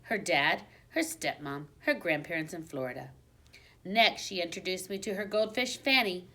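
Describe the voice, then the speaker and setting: A woman reading a children's book aloud like a teacher, with expressive storybook narration and dramatic emphasis. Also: fairly low pitch, normal speed. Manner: dramatic emphasis on exciting moments.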